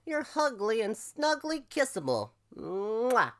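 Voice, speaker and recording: A squawky old storybook crow acted by a reader, pitched above normal talk. The bird is chummy and chatty, with a cawing, affectionate manner. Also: very animated, normal speed, fairly bright tone.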